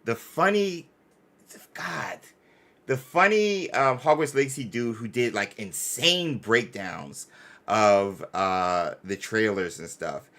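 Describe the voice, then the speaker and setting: A man's voice: medium pitch, expressive, slow pace, a bit bright. A man hosting a gaming fan channel, chatting casually and effusively in slangy, bro-heavy speech. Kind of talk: casual live-stream chat.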